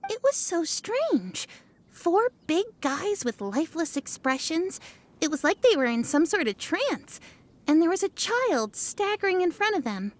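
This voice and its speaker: A woman's voice: extremely high pitch, big pitch swings, unhurried, full character voice. A woman voicing an earnest, slightly hesitant young female bystander in a video game. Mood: puzzled, recalling. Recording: faint music behind. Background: game music.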